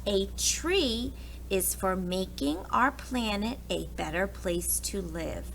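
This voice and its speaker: Female children's story reader, expressive teacher delivery, very unhurried, faint noise behind. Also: mid-range voice, creaky. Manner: expressive, talks directly to young children. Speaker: preschool teacher reading aloud. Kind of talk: children's picture book read-aloud with asides.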